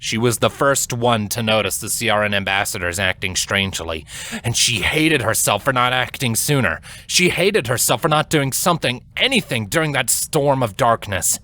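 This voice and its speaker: A man reading a scripted dramatic story as narrator, solemn, deliberate and expressive. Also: medium pitch. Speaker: audiobook-style fan fiction narrator.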